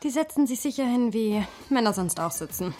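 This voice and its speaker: An actress playing a teasing, enthusiastic young woman chatting with a friend in a radio drama, with a playful, animated manner. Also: a little high, a little fast, faint music behind, lightly acted.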